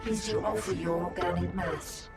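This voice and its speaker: An actor voicing an inhuman hive-mind creature in a video game, coldly polite and formal, speaking as a collective, with a gravelly, echo-processed voice. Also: mid-range voice, fairly even intonation, moderate pace. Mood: ceremonious. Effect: echoing monster voice processing. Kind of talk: video game villain acting. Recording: echoing, distant, under loud music.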